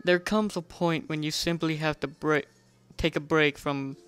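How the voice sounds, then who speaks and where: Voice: mid-range voice, fairly even intonation, a little slow, a bit bright, clear, resonant tone, soft background music. A person giving an amateur dramatic reading of a first-person story, stumbling over words and correcting mid-sentence, with theatrical emphasis.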